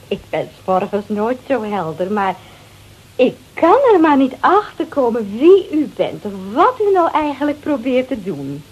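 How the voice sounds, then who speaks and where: A woman's voice: high voice, slightly rough, dull audio, exaggerated voice. An actress playing a brazen, flirtatious married woman who drinks sherry, teasing and mocking in a radio drama. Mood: suspicious, curt. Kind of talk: Dutch radio drama acting.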